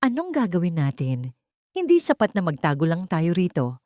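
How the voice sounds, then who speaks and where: A woman's voice: on the low side, huge pitch swings, normal speed, mellow, no echo, studio quality. A woman narrator voicing an earnest, wronged young wife with resolve in an audiobook. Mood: worried. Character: wronged young wife turning steely and defiant.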